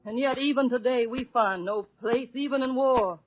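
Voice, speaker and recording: A woman's voice: fairly high pitch, moderate pace, close to an everyday voice. An actress playing a dignified, wronged woman, proud and grieving, in solemn, impassioned 1940s radio oratory. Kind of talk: 1940s radio acting, declamatory. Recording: muffled old radio broadcast, faint music.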